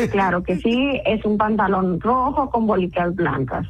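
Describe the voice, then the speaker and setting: A woman's voice: mid-range voice, animated, slow pace. A woman working as a chat line operator, speaking patiently and politely on a phone call and often laughing. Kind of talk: phone conversation.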